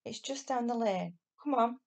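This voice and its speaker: Woman reading as an excitable, earnest young boy. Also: medium pitch, normal speed, vocal fry. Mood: eager. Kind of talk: children's storybook reading.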